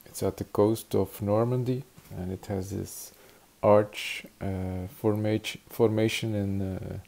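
A man in his forties from Flanders with a Flemish Dutch accent, teaching watercolor in halting English full of "uh" fillers, speaking into a webcam in an attic studio. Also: fairly low pitch, steady tone, vocal fry, darkish tone. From Belgium (Flanders). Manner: halting, many "uh" fillers, searching for words. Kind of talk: hesitant tutorial narration. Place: attic studio with wooden beams.

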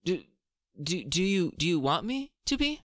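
A woman's voice: lowish voice, big pitch swings, moderate pace, dry recording, clean studio sound. A woman playing a friendly, shy young classmate, a little hesitant, in a comedy dub.

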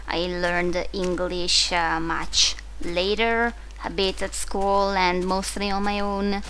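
A woman's voice: lowish voice, level tone, takes it slowly, fairly bright tone, slight background noise, low-quality recording. A young woman with an Italian accent, Italian her first language, chatting casually and rambling as she plays a video game. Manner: chatty, rambling, frequent restarts and fillers. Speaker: gaming video host. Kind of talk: casual commentary while playing.

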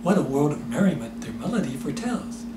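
A man's voice: fairly high pitch, very animated, quick pace, loud music behind the voice. A man in his sixties giving an intense, theatrical poetry recitation, drawing out repeated words. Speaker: poetry performer. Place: small indoor room. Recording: echoey room, loud background noise.

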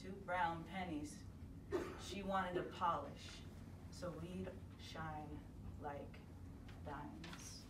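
Woman, middle-aged, reads poetry slowly, picked up from across an echoey gallery room. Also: sits low, very noisy. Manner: measured, expressive, voices the mother's sayings. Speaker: poetry reader. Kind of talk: slow poetry reading. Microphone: far camera. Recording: echoey room, distant mic.

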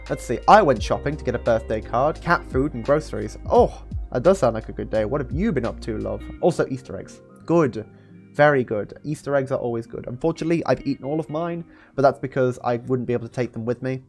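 Expressive young man chatting over music. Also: mid-range voice. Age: 20s. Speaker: streamer reading a book aloud. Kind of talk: storytelling and casual livestream chat. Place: small indoor room. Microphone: close studio microphone on an arm, with headset. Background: background music.

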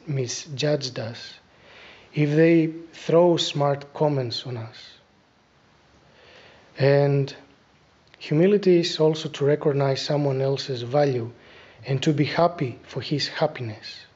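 A middle-aged man with a Greek accent giving a spiritual talk as an Orthodox abbot, deliberate and instructive, pausing between phrases. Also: a little high, a little mellow, highs cut off. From Greece. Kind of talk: spiritual talk, reflective preaching. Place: small church, indoors at a lectern.

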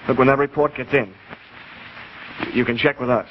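An actor playing an agitated witness urgently and emphatically warning police, in 1950s live television drama style. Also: male voice, medium pitch, steady tone, moderate pace, lightly acted. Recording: muffled 1950s television soundtrack, faint noise.

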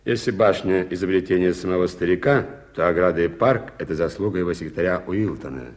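Actor as deep-voiced, well-informed gentleman. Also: fast talker, lightly acted. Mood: earnest, explanatory. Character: polite, well-informed gentleman explaining a guarded estate. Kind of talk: Soviet radio play acting. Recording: noisy 1980s radio play recording.

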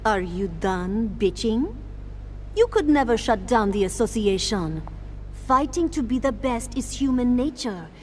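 A woman playing a cool, seductive agent of an assassin league in a video game, teasing and breathy. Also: fairly high pitch, sing-song, measured pace, a little mellow, slight background noise. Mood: cold, scornful. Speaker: voice actor in a game. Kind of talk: video game cutscene acting. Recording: game audio with music underneath.

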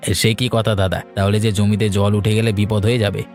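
A man's voice: on the low side, flat delivery, fast talker, a little mellow, natural voice. A man voicing an anxious, deferential younger village farmer in a rustic cartoon story. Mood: concerned. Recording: background music bed.

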